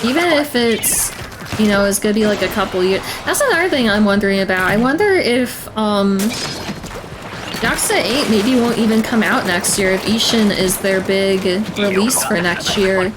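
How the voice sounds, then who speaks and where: A young adult woman streaming a game, chatting casually in a hoarse, tired voice, rambling with many fillers and frequent throat clearing. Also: mid-range voice, expressive, moderate pace, noisy background. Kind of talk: casual chat while gaming. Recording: loud game music over voice. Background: video game music.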